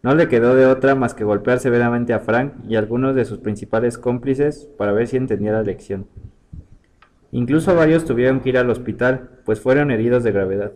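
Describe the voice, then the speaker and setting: A man's voice: mid-range voice, darkish tone. A man reading a scripted short story aloud as its storyteller.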